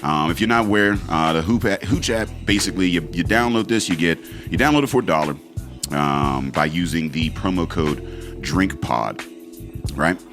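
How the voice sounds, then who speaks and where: A man's voice: low voice, moderate pace, music in the background. A man hosting a podcast, running through sponsor plugs in a loose, casual way with lots of fillers and swearing. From Pittsburgh, USA. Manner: laid-back, loose, swearing, many fillers. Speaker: podcast host. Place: podcast studio.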